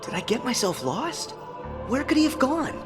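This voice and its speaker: A man voicing an earnest, puzzled teenage boy hero in an animated video game. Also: extremely high pitch, normal speed. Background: music.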